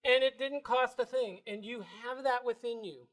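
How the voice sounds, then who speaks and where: Male presenter, big voice, playful and laughing, warming up a live crowd. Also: very high voice, normal speed. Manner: playful, laughs often, builds energy. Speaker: spiritual workshop presenter. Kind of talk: lively talk to a live audience. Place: bookstore event room.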